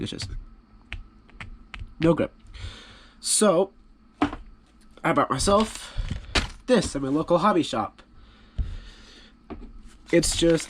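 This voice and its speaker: A man's voice: mid-range voice, a little hiss or hum. Stuffy-voiced man, casual repair talk. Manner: relaxed, rambling, self-deprecating. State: stuffy from a cold. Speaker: hobby channel host.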